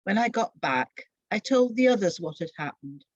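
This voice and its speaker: A woman giving an expressive, solemn first-person dramatic narration of a Bible story from a script. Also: medium pitch, normal speed, breathy edge, no room sound, muffled recording.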